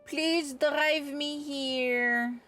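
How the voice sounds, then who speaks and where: A woman's voice: high-pitched, steady tone, very slow, a bit bright, faint music behind. A woman giving casual, playful commentary on a gaming livestream.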